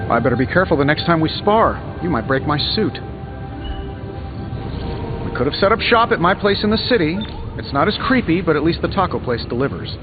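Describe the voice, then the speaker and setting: An actor voicing a witty, cocky armored superhero inventor in a video game, glib and sarcastic. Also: male voice, fairly high pitch. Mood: breezy, joking. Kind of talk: video game dialogue acting. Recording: slightly dull game audio under music.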